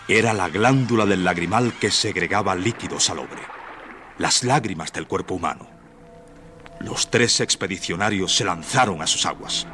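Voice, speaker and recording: A man's voice: low voice, moderate pace, bright, forceful tone, faint music behind. A man giving scripted dramatic narration for a radio play. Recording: noisy old radio drama recording.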